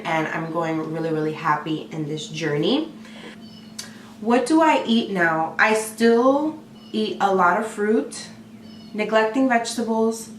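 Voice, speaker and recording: A woman vlogger, hoarse from a cold, chatting excitedly to camera with lots of fillers. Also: fairly low pitch, roomy sound, distant mic, a little hiss or hum. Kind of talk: casual talk to camera.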